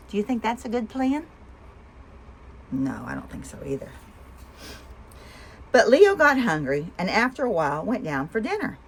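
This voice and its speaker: A woman's voice: fairly low pitch, fairly bright tone, slightly rough. A woman hosting a children's read-aloud, telling the story and putting questions to young listeners. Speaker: storybook reader and host.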